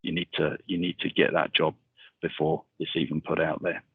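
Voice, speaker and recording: A middle-aged man giving a casual webinar talk, speaking in a monotonous, rambling way with many fillers over a video call. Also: rumbling low, normal speed, breathy edge, dry recording. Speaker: webinar speaker on military transition. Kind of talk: casual presentation. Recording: tinny video call audio.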